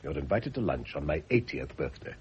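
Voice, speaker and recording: A man acting in a radio drama as a wealthy middle-aged gentleman art lover, urbane, flippant and a little pompous, with a teasing way of talking. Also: a bass voice, big pitch swings, a little fast, husky, heavily acted. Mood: flippant, jovial. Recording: noisy, muffled vintage radio recording.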